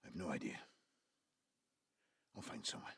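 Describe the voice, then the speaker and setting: An ageing father in an audio drama, guilty and evasive. His voice is deep and very husky, often thinning to a breath as he pleads, recorded close and dry. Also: big pitch swings, moderate pace, fairly bright tone, dry recording, full character voice. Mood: subdued, defensive. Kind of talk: naturalistic audio drama acting.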